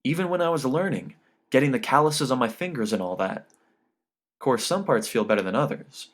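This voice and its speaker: A man reading an audiobook, voicing a male guitarist who is polite, nervous and insistent and talks loudly. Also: mid-range voice, lively intonation, a little fast, pitched above the performer's own voice, natural voice. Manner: loud, urgent, cautioning. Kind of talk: audiobook character dialogue.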